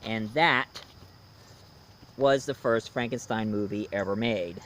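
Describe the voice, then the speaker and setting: A man giving a casual movie review as host, in a halting way with pauses mid-sentence and repeated phrases. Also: lowish voice, fairly bright tone, a little hiss or hum.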